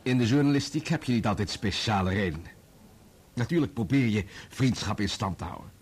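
Low-voiced, evasive journalist. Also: male voice, expressive, fairly quick, breathy edge. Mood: defensive, apologetic. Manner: reasoning, placating. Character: evasive, self-excusing male journalist, caught betraying friends. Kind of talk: Dutch radio play acting.

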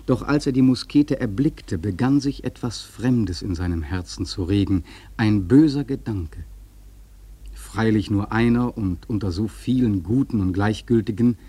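A man narrating a literary radio drama from a script in a mellow, husky voice. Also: fairly low pitch, unhurried, no room sound.

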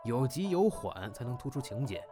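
A man playing a stern but fair crosstalk master, measured and instructive, in an audio drama. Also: mid-range voice, very animated, over music, close to an everyday voice. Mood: critical, instructive.

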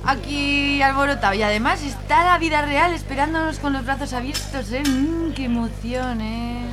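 A woman playing a blunt market woman talks in a very high voice. She is sardonic and slangy yet loyal, in a radio play with clear music underneath. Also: normal speed, lightly acted. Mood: brisk, sardonic. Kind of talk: colloquial audio drama acting.

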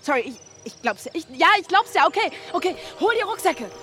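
A young actress playing an impatient, foul-mouthed backpacker in a naturalistic radio drama, speaking in a slangy, brusque way. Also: female voice, very high-pitched, big pitch swings, fairly quick, over music. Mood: urgent, agitated. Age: young adult.

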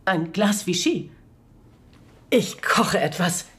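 A woman's voice: on the low side, lively intonation, slow pace, a little hiss or hum. Motherly, no-nonsense hostess. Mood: concerned. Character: motherly, no-nonsense female host feeding a guest. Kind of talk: naturalistic German radio drama acting.

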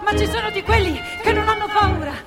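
A woman's voice: extremely high pitch, a little fast, over a noisy crowd. An actress playing a defiant working-class woman, recalling factory protests in an emphatic, plain-spoken radio play narration. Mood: indignant. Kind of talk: theatrical narration in a live radio play with music. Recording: long hall reverb, music underneath. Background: live musical instruments.

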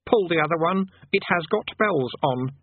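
A man putting on a high, fussy little demonologist. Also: animated, normal speed, breathy edge, no room sound. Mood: pompous. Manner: pompous archaic commands, then pleading and flustered. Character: small fussy eager male amateur demonologist. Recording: tinny phone-like dry sound.

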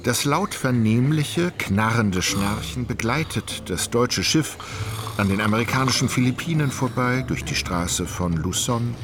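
A man narrating a literary radio play in a measured, descriptive way. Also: mid-range voice, expressive, noisy background, close to an everyday voice. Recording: clearly audible background ambience.

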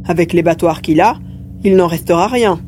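A woman playing a small, plump, furry male mercenary creature who explains things in a measured way in a science fiction audio drama. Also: lowish voice, mellow, soft background music, close to an everyday voice. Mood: composed.

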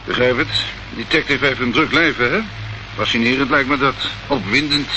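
An actor playing a suave, menacing crime boss in a radio play, speaking with polite veiled threats. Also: male voice, mid-range voice, moderate pace, sharp tone, natural voice. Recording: muffled, noisy old radio recording.

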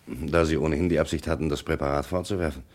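A man's voice: very deep voice, fairly even intonation, fast talker. A man playing a sober, persistent police inspector in a vintage radio crime drama, probing and matter-of-fact. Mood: inquisitive.

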